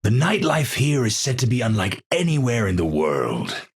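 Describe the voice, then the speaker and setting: An actor playing an eccentric, troubled genius physicist in a video game, a breathy voice and formal, precise, theatrical speech. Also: male voice, medium pitch, huge pitch swings, moderate pace, full character voice. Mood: musing. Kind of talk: video game character voice lines.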